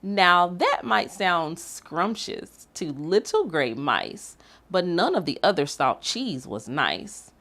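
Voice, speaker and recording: A woman reading aloud and hosting for children, expressive and speaking directly to young listeners. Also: lowish voice, takes it slowly. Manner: animated, addressing young listeners. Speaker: children's story reader and activity host.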